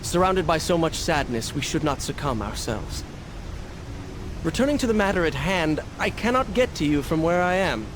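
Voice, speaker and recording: An actor playing a proud, regretful young prince, speaking formally and earnestly in a dramatic video game. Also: male voice, on the high side, sing-song, normal speed. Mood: resolute. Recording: noisy game capture with sound effects.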